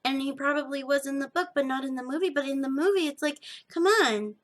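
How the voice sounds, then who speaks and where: A young woman with a slightly gravelly edge, chatting casually and emphatically as a podcast host, often restarting her sentences. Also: high-pitched, fast talker. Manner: animated, emphatic, self-interrupting. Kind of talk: casual rambling chat to viewers.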